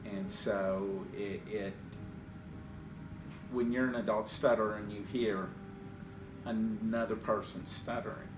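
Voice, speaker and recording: A middle-aged man who stutters, speaking reflectively in an interview, measured, with pauses and repeated words. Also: on the low side, fairly even intonation, background noise. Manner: measured, with pauses and word repetitions. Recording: roomy echo, distant mic, music behind. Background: guitar music.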